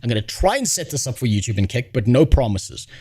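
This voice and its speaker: A male streamer ranting in a blunt, swear-heavy way, rambling from one point to the next. His voice sits at an ordinary middle pitch. He talks like he's reacting live to whatever's on screen. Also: sing-song, quick pace, a little mellow, slightly rough. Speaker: streamer host.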